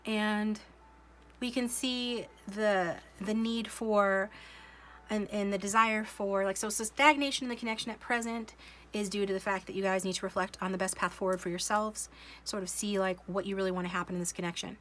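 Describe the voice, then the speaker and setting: A woman with a cold giving a casual tarot reading, musing along slowly with many fillers and restarts. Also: mid-range voice, steady tone, fairly bright tone, slight background noise. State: coming down with a cold, sniffling. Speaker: tarot reader.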